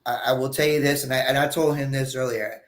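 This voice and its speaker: A man's voice: medium pitch, fairly even intonation, fast. A man co-hosting a casual live stream, rambling through stories and repeating his words as he starts sentences.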